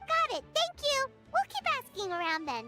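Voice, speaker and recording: A woman's voice: huge pitch swings, normal speed, pushed and bright, full character voice. A woman voicing a tiny, eager, childlike fairy guide in a video game. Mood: friendly, curious. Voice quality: squeaky, childlike. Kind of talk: cartoonish video game voice acting. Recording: prominent playful music behind.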